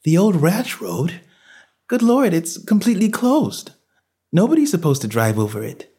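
A man's voice: sits high, big pitch swings, moderate pace, mellow, husky, pitched above the performer's own voice, exaggerated voice. A man voicing a dazed, anxious wife in a dramatic audiobook, with a wavering, questioning delivery. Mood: dazed, alarmed. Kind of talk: dramatic audiobook character reading.

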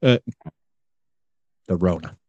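A man's voice: slow pace, a little mellow, no room sound, lo-fi sound. A man co-hosting a podcast in casual, rambling chat with some swearing. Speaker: podcast co-host.

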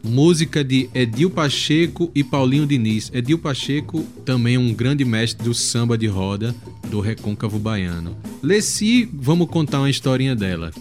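The Brazilian man you'd hear on a music podcast, swapping stories between tracks while a tune plays low underneath. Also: expressive, normal speed. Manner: chatty, anecdotal storytelling. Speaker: music show host. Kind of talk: casual music commentary between songs. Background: music playing softly behind.

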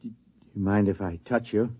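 An actor playing a busy security supervisor who is dismissive and incredulous, stammering in a 1950s radio drama style. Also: male voice, lowish voice, steady tone, moderate pace, breathy edge. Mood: flustered, disbelieving. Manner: stammering, chuckling, condescending. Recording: dull lo-fi 1950s radio recording.

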